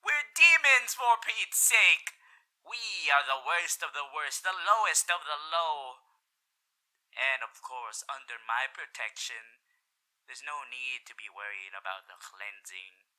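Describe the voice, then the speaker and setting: A man's voice: fairly high pitch, lively intonation, slow pace, bright, forceful tone, heavily acted, rough recording. A man voicing a suave, flirtatious radio demon with a theatrical showman's delivery in a roleplay audio for the listener, moving between bold exclamations and intimate murmurs. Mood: indignant, protective. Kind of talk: character roleplay ASMR addressed to the listener.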